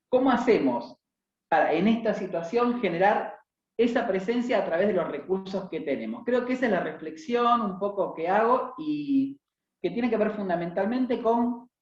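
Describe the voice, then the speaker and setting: A man's voice: high voice, expressive, normal speed, a little mellow. A man in the audience making a reflective comment at an academic discussion, checking in with rhetorical questions. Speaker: audience participant. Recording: lo-fi, roomy echo.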